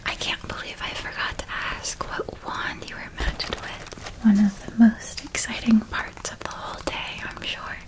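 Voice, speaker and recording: A woman playing a doting, gentle witch who is an eldest sister, in a whispered ASMR roleplay, speaking tenderly and with soft admiration. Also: a little high, little pitch movement, close to an everyday voice. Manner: tender, unhurried, softly admiring.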